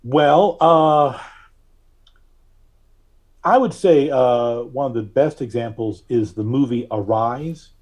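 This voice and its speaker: Male interview guest. Dark, slightly raspy voice, mid pitch, conversational and searching for words. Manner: halting, searching for words, thoughtful. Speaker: podcast guest, artist and reverend.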